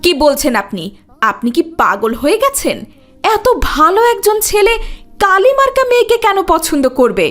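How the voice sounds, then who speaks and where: A woman voicing a scornful middle-aged stepmother in an Islamic cartoon, nagging with sharp rhetorical questions. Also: very high-pitched, sing-song, moderate pace, voice pushed up, heavily acted. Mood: indignant.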